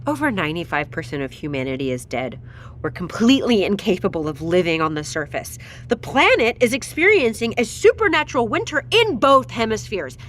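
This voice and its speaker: An actress playing a blunt, anxious doctor in a crisis audio drama, insistent and exasperated. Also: female voice, mid-range voice, very animated, a little fast, a bit bright. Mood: angry, distressed.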